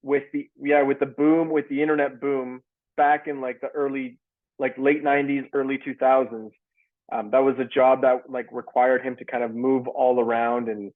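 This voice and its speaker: A man's voice: medium pitch, flat delivery, normal speed, phone-quality sound. A young man from Canada answering interview questions over a video call from home, loose and easygoing, with lots of fillers. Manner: loose, many fillers and restarts. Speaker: actor guest. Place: home in Toronto. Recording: thin video-call audio.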